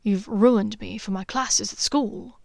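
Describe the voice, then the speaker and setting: A woman voicing a playful, affectionate young man who teases in a low, intimate tone. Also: slow, creaky, darkish tone, no room sound. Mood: teasing. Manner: teasing, low and intimate, sometimes half-whispered.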